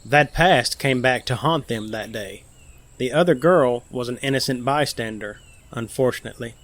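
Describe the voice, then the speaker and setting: A campy male psychic medium, played by an actor for an audio drama. His voice sits at an average pitch, and he speaks with theatrical self-assurance and breezy condescension. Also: normal speed, a bit bright, close to an everyday voice. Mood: knowing, condescending. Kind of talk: fiction podcast interview acting.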